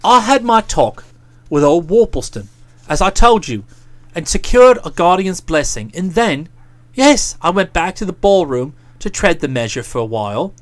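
A bluff, self-satisfied young gentleman author, as a woman reader puts him on, his voice dropped low and drawling. He lectures and explains himself at length with lively swoops of pitch. The recording is close and dry. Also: normal speed, no room sound. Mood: lecturing.

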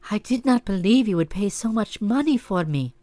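A man's voice: sits high, expressive, moderate pace, dark tone, dry recording, voice pushed up. A man reading aloud voices a troubled young wife, raising his voice into earnest, pleading questions.

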